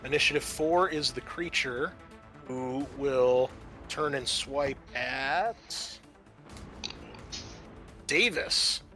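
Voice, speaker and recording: A man runs a tabletop roleplaying game in a bright, forceful voice. He narrates and rules casually, swearing freely, with music playing behind him. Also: mid-range voice, extremely slow, long pauses. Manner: casual, profane. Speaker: game master.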